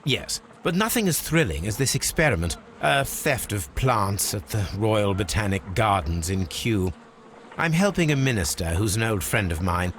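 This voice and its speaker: A brisk Victorian English gentleman sleuth for a video game. His voice sits on the low side and swings expressively, with precise, dry wit. There is faint ambient noise behind him. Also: lively intonation. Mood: brisk, enthused. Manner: formal, precise, dryly witty.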